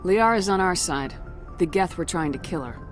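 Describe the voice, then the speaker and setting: A woman's voice: on the low side, expressive, normal speed, music in the background, natural voice. An actress playing a resolute female military starship commander in a video game, direct and authoritative. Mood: firm. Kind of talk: video game dramatic dialogue.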